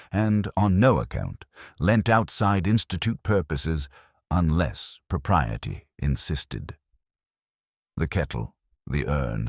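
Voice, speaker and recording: A man with a very low, husky voice narrates a wry mystery story. His delivery is arch and measured, with lively rises and falls, in a dry, close recording. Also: dry recording.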